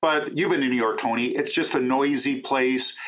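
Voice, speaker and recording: A man's voice: mid-range voice, normal speed. Middle-aged Canadian man, outgoing and chatty, keeps talking at length as an interview guest. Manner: animated, talkative, self-described extreme extrovert. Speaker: radio host and author interviewed as guest. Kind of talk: conversational interview answers.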